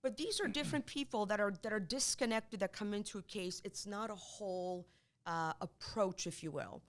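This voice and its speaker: A middle-aged woman from Poland, whose first language is Polish, talks in an animated, emphatic way, often repeating words as she makes her point. Her voice sits at a middle pitch for a woman, and she speaks in a relaxed, conversational interview tone. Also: normal speed. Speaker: divorce attorney, guest.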